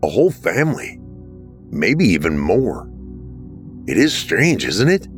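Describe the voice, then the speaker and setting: A man's voice: fairly low pitch, very animated, measured pace, faint music behind. A man voicing a frustrated, caring dead man, now a ghost, in a story. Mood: puzzled.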